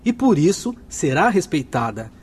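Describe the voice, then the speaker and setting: A man's voice: a little high, big pitch swings, moderate pace, darkish tone, a little hiss or hum. A man playing a courteous, assured spirit technician in a radio drama, speaking formally. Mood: firm, instructive.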